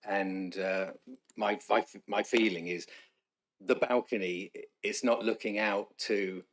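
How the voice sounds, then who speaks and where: A man chairing a council planning committee, polite but halting, often restarting words. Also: on the low side, fairly even intonation.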